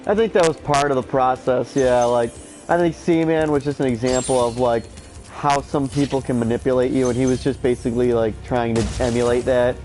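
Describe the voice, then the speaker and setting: A man's voice: mid-range voice, steady tone, a little fast, a little mellow. A stuffy, sleep-deprived man in his thirties rambling and swearing as he plays a game. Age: 30s. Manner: rambling, profane, opinionated. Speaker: streamer. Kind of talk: casual gameplay chatter. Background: game music.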